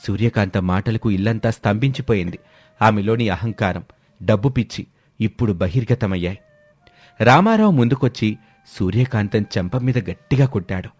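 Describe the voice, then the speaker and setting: A man's voice: lowish voice, moderate pace, darkish tone. A man narrating a scripted, dramatic family story as a storyteller.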